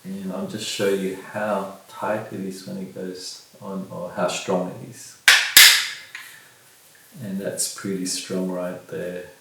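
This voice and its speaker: A middle-aged man with a gravelly voice, casually showing and reviewing a product at a table in a bare room, picked up by a camera a few metres away. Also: deep voice, completely flat delivery, slow pace. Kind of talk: casual unboxing demonstration. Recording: very echoey room, distant mic, faint noise.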